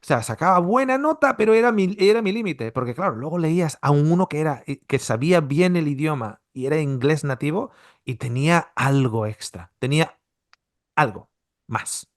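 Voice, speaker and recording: A man leads a Bible seminar, talking things through with plenty of restarts and "you know?" checks. His voice sits a little high for a man. The sound is dry, with hardly any room around it. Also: animated, moderate pace, dry recording. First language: Spanish. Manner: explanatory, conversational, with many restarts and rhetorical questions. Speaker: Bible teacher. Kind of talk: seminar teaching. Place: Australia.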